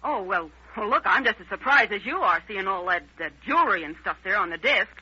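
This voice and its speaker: An American actress playing a brassy, evasive gangster's moll in an old-time radio crime drama, with slangy, defensive delivery. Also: female voice, mid-range voice, animated, brisk, pushed and bright, husky, exaggerated voice. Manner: slangy, defensive, streetwise drawl. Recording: dull, lo-fi old radio recording.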